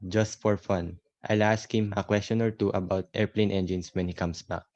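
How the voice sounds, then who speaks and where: A man's voice: on the low side, steady tone, normal speed, a little mellow, no echo, close to an everyday voice. A man playing a skeptical, teasing older brother in an amateur radio play. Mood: sarcastic. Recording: dull lo-fi dry recording.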